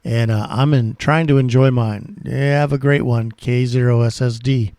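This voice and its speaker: A man's voice: medium pitch, fairly even intonation, normal speed, darkish tone, no room sound. American man in his sixties, dry close sound. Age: 60s. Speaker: amateur radio operator. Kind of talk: casual ham radio chat.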